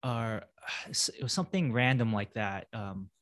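A man's voice: on the low side, animated, moderate pace. A man hosting a casual podcast interview, talking with many fillers and restarts. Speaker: podcast host.

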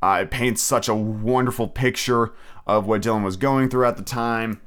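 A man's voice: mid-range voice, fairly even intonation, moderate pace, a bit bright. A man hosting his own podcast in a casual, rambling solo chat, full of fillers and restarts. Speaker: podcast host.

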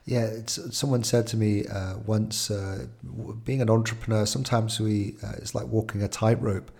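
A male podcast host asks his questions slowly and hesitantly, stopping often on fillers. His voice is low and gravelly, with a husky, rough edge. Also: fairly even intonation, darkish tone. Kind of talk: conversational interview.

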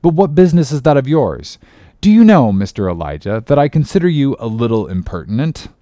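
Man acting a brisk, indignant sailor, dry sound. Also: mid-range voice, sing-song, dark tone, no room sound, voice pushed up, natural voice. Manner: formal, firm, impatient.

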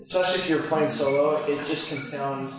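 A man's voice: on the high side, steady tone, fairly quick. A man leading a worship music workshop, talking hesitantly with many fillers. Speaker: workshop leader. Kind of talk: workshop discussion. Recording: echoey room, mic far off, music behind.

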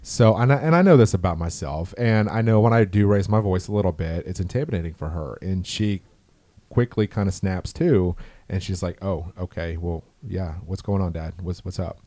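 A middle-aged man with a deep voice, talking earnestly and slowly about raising his daughter in a casual chat. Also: warm tone, dry recording. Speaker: guest, father.

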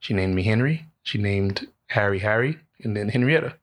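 Animated man in his late thirties. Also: fairly low pitch, normal speed. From Bahamas. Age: 30s. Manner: animated storyteller, laughs often. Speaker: podcast guest. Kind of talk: casual storytelling interview.

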